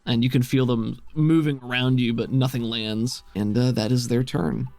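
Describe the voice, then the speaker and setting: A man's voice: medium pitch, steady tone, moderate pace, creaky, darkish tone, no echo. A man acting as game master, narrating a tabletop roleplaying game in a casual, easygoing, conversational way. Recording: music behind the voice. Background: music.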